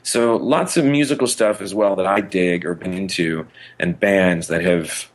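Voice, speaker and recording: Low-voiced man chatting as podcast co-host. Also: unhurried. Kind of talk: casual chat.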